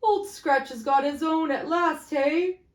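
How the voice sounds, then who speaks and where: Storybook wealthy gentleman voiced by a woman: high, loud, chirpy, brisk social patter, echoey. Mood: cheerful. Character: brisk, cheerful wealthy gentleman exchanging small talk. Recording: echoey, roomy sound.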